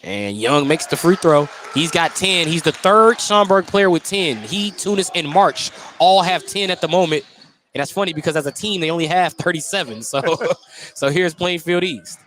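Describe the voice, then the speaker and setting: High-pitched male basketball play-by-play announcer. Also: normal speed, a little hiss or hum. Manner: excitable on big plays. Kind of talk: live basketball radio commentary. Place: basketball gym at a tournament.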